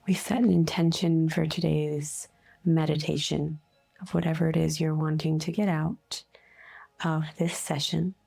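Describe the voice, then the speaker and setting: A woman leading a guided meditation in a soothing, unhurried, gently instructive way, with breathy phrase endings. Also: sits low, level tone. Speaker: guided meditation host, energy healer. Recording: soft music bed behind voice. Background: faint music.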